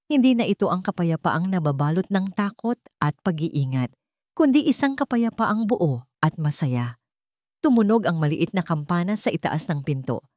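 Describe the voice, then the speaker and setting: A woman reading a scripted dramatic story as narrator, with measured, deliberate phrasing. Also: lowish voice, big pitch swings, darkish tone, no echo, studio quality.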